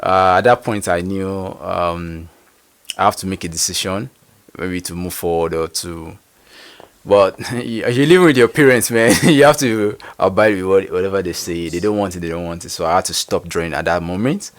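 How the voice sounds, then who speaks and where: Man, young adult, interview guest, very deep and resonant, hesitant delivery. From Nigeria. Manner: halting, searching, many fillers. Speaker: guest artist. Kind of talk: casual interview.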